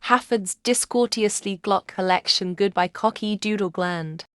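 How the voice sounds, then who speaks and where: A woman's voice: mid-range voice, unhurried, a bit bright, no echo, studio quality. A woman speaking in garbled, nonsensical strings of words.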